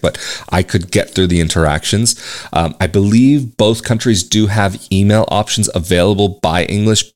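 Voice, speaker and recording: A man from the United States with a very low voice talks through everyday life abroad in a relaxed vlog style. He rambles a little, circling back with restarts and side remarks. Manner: conversational, rambling with restarts and asides. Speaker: expat vlogger. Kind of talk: casual talk to camera. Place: home in Portugal.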